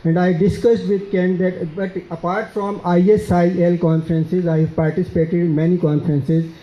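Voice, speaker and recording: A man with a Pakistani accent answers audience questions at a conference, speaking haltingly with many fillers and repeated words. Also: high voice, flat delivery. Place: conference hall. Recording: dull, echoey conference hall.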